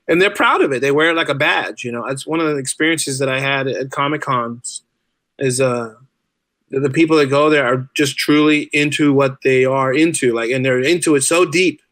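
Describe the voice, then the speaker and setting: A man's voice: medium pitch, a bit bright, no echo. A talkative man speaking casually and enthusiastically as a guest in an interview. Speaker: podcast guest, writer and podcaster.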